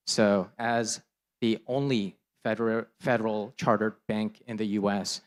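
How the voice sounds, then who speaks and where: Male panel speaker, somewhat low voice, crisp and matter-of-fact. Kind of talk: conference panel introduction.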